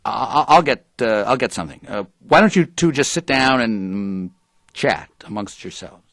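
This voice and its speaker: An actor playing a hesitant, smitten reporter in an audio drama, with a halting, stammering delivery. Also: male voice, medium pitch, expressive, fairly bright tone. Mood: flustered. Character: hesitant, smitten male reporter living with his girlfriend.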